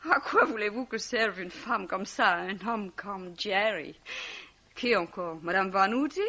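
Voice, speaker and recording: A woman's voice: mid-range voice, measured pace, sharp tone, muffled recording. An actress playing a bitter, self-pitying, drunken young woman with a slurred, theatrical delivery in a 1950s-style French radio mystery. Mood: hysterical, despairing.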